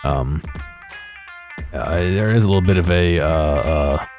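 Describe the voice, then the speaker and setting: Guy with a pretty deep voice rambling thru game footage, kinda hesitant n low-key, soft music behind him. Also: measured pace. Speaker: video creator commentating on game footage. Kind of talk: unscripted gameplay commentary.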